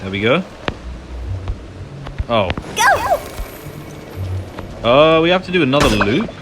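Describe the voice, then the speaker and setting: A man narrates his video game playthrough in a casual, chatty way. His voice sits at an average pitch, and he is apologetic and self-deprecating. Game music is clearly audible behind him. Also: sing-song, slow pace. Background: loud video game music.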